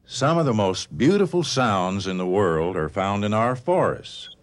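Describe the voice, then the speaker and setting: A man's voice: lowish voice, animated, unhurried. A man reading a scripted public service announcement as narrator, with chirping birds behind him. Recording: very noisy broadcast, loud birdsong behind.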